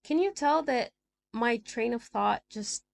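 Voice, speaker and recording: A woman's voice: on the high side, animated, a little slow, vocal fry. A young woman from Sudan, a native Arabic speaker with a mixed American and British accent, hosting a casual solo podcast and rambling from thought to thought. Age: young adult. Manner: rambling, chatty, jumps between thoughts. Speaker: podcast host. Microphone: small desk mic.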